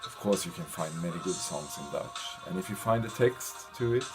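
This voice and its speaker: Low-voiced man giving a halting lecture. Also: lively intonation, music in the background. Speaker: university lecturer presenting a lesson. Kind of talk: informal narrated slide lecture. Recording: clear background noise.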